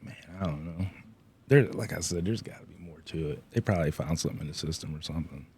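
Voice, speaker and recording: A man's voice: extremely low pitch, quick pace, a little mellow, breathy edge. A man chatting casually as co-host, laid-back and giving short skeptical replies. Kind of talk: casual bar chat.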